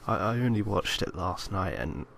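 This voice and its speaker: A man's voice: sits low, animated, normal speed, vocal fry, a bit bright. A man co-hosting a podcast, chatting casually about films. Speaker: podcast co-host. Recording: noisy amateur podcast recording.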